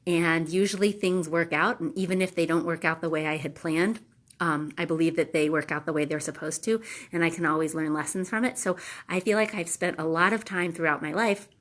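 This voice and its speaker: A woman's voice: deep voice, steady tone, moderate pace. A middle-aged woman, congested and nasal from allergies, hosting a casual live talk full of ums and restarts. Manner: hesitant, many ums and restarts. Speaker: live video host.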